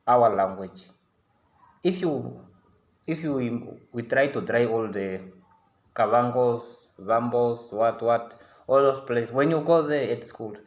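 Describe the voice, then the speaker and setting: A man's voice: mid-range voice, darkish tone. A young man makes an earnest appeal in English, his after a San tongue. His voice sits in an ordinary middle register. He pleads and repeats himself, restarting sentences as he pushes his case. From Namibia. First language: a San language. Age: young adult. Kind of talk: impassioned public appeal.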